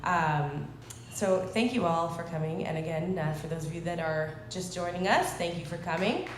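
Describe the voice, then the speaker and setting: A woman's voice: on the low side, expressive, normal speed. A woman host with a stuffy voice from a cold, making loose, chatty announcements from a live music hall stage. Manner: chatty, loose, filler-heavy. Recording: echoey hall with loud crowd noise.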